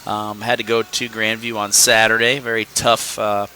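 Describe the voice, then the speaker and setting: Midwest high school coach guy, kinda low but bright voice, lots of uh and you know. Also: little pitch movement, a little hiss or hum, poor audio. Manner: halting, many fillers and restarts. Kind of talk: casual podcast chat.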